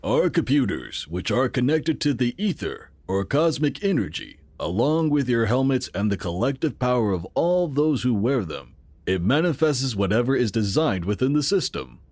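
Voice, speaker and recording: An actor playing the earnest, calm founder of a futuristic city in a sci-fi audio drama, explaining things in a measured, formal way. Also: male voice, mid-range voice, dry recording. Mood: patient, explanatory.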